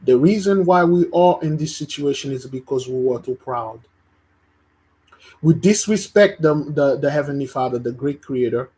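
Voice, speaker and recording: A man's voice: mid-range voice, moderate pace, dark tone. Emphatic man preaching. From Haiti. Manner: emphatic, repeats phrases, stumbles and restarts. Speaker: religious teacher. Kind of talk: informal online preaching.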